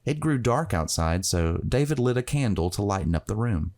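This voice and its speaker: A man's voice: lowish voice, animated, normal speed, darkish tone. A man narrating a scripted fantasy story, with plucked guitar music playing behind him.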